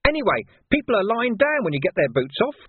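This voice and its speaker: A man voicing a scruffy, greedy street urchin boy with a high, whining cockney manner. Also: very animated, fast talker, breathy edge, no echo, heavily acted. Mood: wheedling. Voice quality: high squeaky whine. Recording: muffled phone-like recording.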